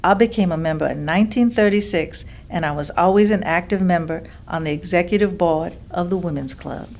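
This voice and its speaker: Genteel older clubwoman played by an actress, slightly low register, measured, polite reminiscence for a staged reading. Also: a little mellow, slight background noise, natural voice. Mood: calm reminiscence. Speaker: stage actress performing oral history. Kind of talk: dramatic monologue, staged reading.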